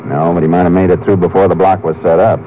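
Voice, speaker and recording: An American actor playing a steady, laconic Texas Ranger in a 1950s radio drama, terse and matter-of-fact. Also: male voice, sits low, fast, highs cut off, slight background noise, natural voice. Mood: businesslike. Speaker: actor playing the lead lawman and narrator. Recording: old 1950s radio broadcast recording.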